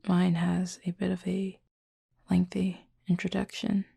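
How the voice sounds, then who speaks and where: Casting: gentle Cupid roommate, played by a woman, ASMR roleplay; husky, breathy, evenly pitched, measured and quietly affectionate. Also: monotone, heavily acted. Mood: calm, explanatory. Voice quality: soft, breathy, husky, often near-whisper. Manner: slow, measured, formal storytelling with soft pauses. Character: gentle adult Cupid roommate, wistful, affectionate, softly teasing. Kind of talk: intimate ASMR audio roleplay.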